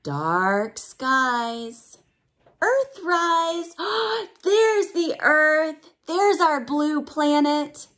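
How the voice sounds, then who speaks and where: A woman with a slightly high voice reads a picture book to small children. She takes her time, drawing out rhyming lines with expression. Between them she explains things in simple, teacherly words and often puts questions to the listener. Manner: drawn-out, expressive reading of the story's rhymes. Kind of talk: animated read-aloud with explanatory asides for young children.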